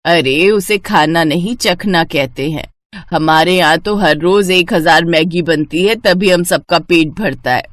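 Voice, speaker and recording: A woman voicing a brusque, demanding mother-in-law in an animated family story. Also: fairly low pitch, measured pace, dry recording, clean studio sound, close to an everyday voice. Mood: bossy, dismissive. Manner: brusque, bossy.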